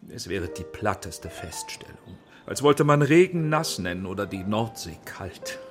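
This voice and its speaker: An actor in a German radio drama playing a proud emigrant film director, courtly and persuasive, with a theatrical delivery that moves between hushed and fervent. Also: male voice, lowish voice, sing-song, a little slow. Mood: courtly flattery. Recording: music under the voice.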